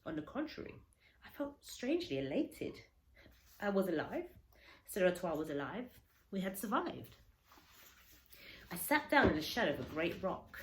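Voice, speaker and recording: Expressive woman reading a story, echoey room. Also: on the low side, moderate pace, long pauses. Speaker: teacher reading a story aloud. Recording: echoey room, faint background noise.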